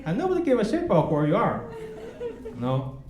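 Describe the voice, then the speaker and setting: Young man with a Chinese accent doing dry, self-mocking stand-up, bouncy and slightly high, in an echoey club with a noisy crowd. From China. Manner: deadpan, self-mocking, with pauses for laughs. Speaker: stand-up comedian. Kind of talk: stand-up comedy set. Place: comedy club stage. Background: audience laughter.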